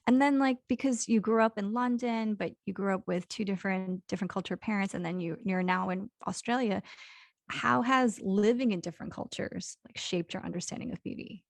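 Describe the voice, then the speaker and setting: Gravelly-voiced chatty podcast host woman. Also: mid-range voice, fairly even intonation, a little fast, no echo. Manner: chatty, curious, asks leading questions. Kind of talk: casual interview chat.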